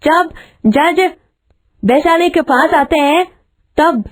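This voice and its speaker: A female narrator telling a scripted story. Her voice is clearly high in pitch, and she delivers the lines as storytelling narration. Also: takes it slowly.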